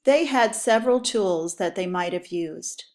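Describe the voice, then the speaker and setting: A woman teacher reading educational text aloud for pupils, with clear, deliberate, instructional phrasing. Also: medium pitch, expressive, a little mellow.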